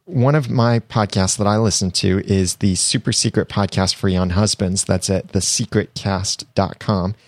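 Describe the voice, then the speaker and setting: A man's voice: on the low side, steady tone, moderate pace, few pauses, a little mellow, no room sound. Chatty young man hosting a podcast. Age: young adult. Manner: chatty, upbeat, enthusiastic. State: getting over a cold. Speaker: podcast host. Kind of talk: solo podcast tips monologue.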